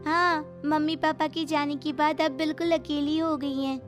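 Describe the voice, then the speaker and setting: A woman's voice: high-pitched, level tone, normal speed, pitched above the performer's own voice, close to an everyday voice. A woman voicing a devoted, eager young adult daughter in a story, speaking earnestly. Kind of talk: animated moral story dialogue. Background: background music.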